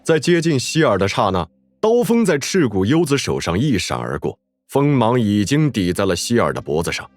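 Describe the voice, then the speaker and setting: A man's voice: mid-range voice, big pitch swings, moderate pace, hardly any pauses, no room sound. A man delivering dramatic scripted narration for a story. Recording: background music under the voice.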